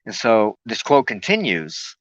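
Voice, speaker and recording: A man hosting a spiritual talk show, explaining loosely and haltingly, often pausing mid-sentence to search for words. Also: fairly low pitch, very animated, fairly bright tone, no room sound, lo-fi sound.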